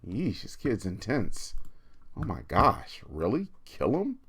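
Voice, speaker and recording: A man hosting a livestreamed storytime, chatting informally and reading a children's book aloud with animated, encouraging delivery. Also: lowish voice, takes it slowly, slightly rough.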